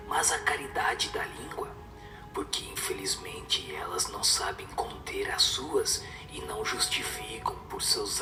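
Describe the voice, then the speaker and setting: A man voicing a stern superior spirit in a breathy whisper, giving solemn, severe moral counsel in an audio drama. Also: on the low side, reverberant, pitched below the performer's own voice, natural voice, low-quality recording. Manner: solemn, admonishing. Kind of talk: Portuguese-language audio drama of a spiritist book.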